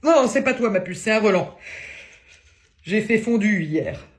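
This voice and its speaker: A woman's voice: mid-range voice, expressive, unhurried, lightly acted. A woman voicing a brash, crude middle-aged aunt from the provinces, ranting and teasing down the phone in a comedy sketch. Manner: loud, crude, teasing, one-sided phone rant.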